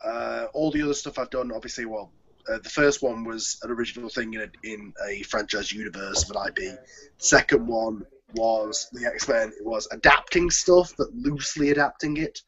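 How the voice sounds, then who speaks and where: A man hosts a casual online group chat in an average-pitched voice. He rambles and hesitates as he goes. The sound is dull and lo-fi, like an internet call. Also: normal speed, a bit bright, slightly rough. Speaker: host, audio drama producer.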